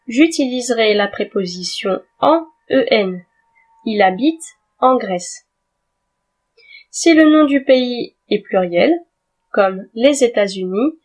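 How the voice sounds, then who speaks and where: A woman gives a grammar lesson at a slow, careful pace. Her voice sits a little high, and she speaks clearly and deliberately, spelling words out letter by letter. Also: a little mellow. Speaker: French teacher.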